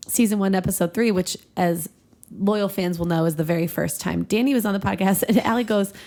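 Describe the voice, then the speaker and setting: A woman's voice: mid-range voice, fairly quick, warm tone. A woman co-hosting a podcast in casual comedic chat, talking in a chatty, giggly way. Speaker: podcast co-host.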